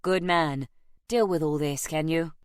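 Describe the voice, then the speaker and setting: A woman voicing a terse, authoritative gentleman and former army officer in an audiobook novel. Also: low voice, steady tone, a little slow, smooth, dry recording, studio quality. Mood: commanding.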